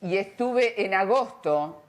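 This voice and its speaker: A woman's voice: medium pitch, animated, takes it slowly. A woman with an Argentine accent interviewing conversationally, wordy and personal, often feeling her way to the question. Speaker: panel interviewer.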